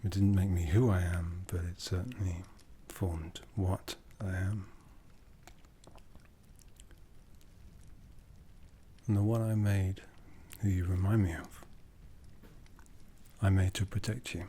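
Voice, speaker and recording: A man playing an ancient, brooding vampire lord in an ASMR roleplay, speaking in a breathy, hushed, solemn voice that slips into whispers. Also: low voice, little pitch movement, a little hiss or hum. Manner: deliberate, solemn, intimate. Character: ancient vampire lord, brooding, commanding, softly menacing, courtly. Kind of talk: ASMR roleplay, soft speech slipping into whispers.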